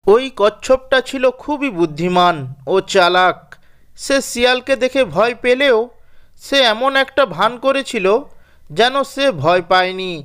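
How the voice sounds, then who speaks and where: Clear, smooth children's story narrator. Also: medium pitch, expressive, a little slow, fairly bright tone.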